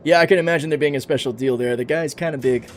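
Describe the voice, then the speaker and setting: A man's voice: fairly high pitch, fast, a little hiss or hum. A man in his thirties chatting casually on a game livestream, reading out and answering chat comments as he plays. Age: 30s. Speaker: streamer.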